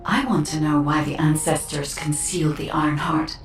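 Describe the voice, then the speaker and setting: An actress voicing a calm, analytical guide in a video game, speaking in a measured, formal way. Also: female voice, low-pitched. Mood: calm, earnest. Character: calm, analytical female guide advising fighters, earnest and measured. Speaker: guide character. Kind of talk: scripted video game dialogue. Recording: roomy, distant, music underneath.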